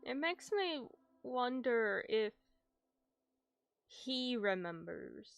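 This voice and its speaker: A woman livestreamer reacting casually to a game, speaking in a halting, emotional way. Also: on the high side, very animated, gravelly, smooth, no room sound. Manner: halting, emotional, swears freely. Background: game music.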